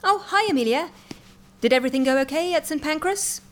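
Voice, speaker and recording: An actress playing a brisk, droll, capable woman who gently bosses an eccentric office in an audio comedy. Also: high-pitched, expressive, a little hiss or hum. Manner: droll, organised, gently bossy. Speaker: actor in a comedy fiction podcast. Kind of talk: audio comedy drama acting.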